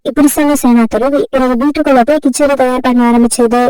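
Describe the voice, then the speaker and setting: A woman reads a scripted story for children. Her voice is high yet mellow, and the sound is dry and free of room echo. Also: fairly even intonation, fast, recorded from a distance.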